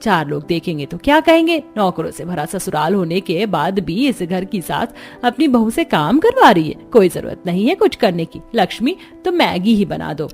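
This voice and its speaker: A woman's voice: lowish voice, very animated, normal speed, vocal fry, hardly any pauses, a little mellow, soft background music. A woman voicing a proud, bossy, rich middle-aged mother-in-law in a cartoon. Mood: scolding.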